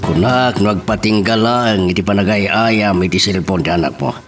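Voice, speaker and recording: A man's voice: on the low side, moderate pace, natural voice. A man acting a stern, suspicious middle-aged father in an Ilocano audio drama. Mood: grave, concerned. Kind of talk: radio-style drama acting. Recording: music under the voice.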